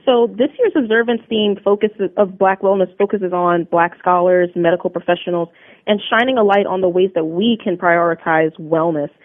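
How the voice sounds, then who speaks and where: A young woman with a lowish voice speaks in an upbeat, polished and earnest way as a radio guest. Her voice comes through a narrow, phone-like line with a dry, close sound and no room echo. She sounds composed and sure of herself. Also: steady tone, moderate pace. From New Jersey, USA. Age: young adult. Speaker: interview guest, Army Reserve drill sergeant. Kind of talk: radio interview answers.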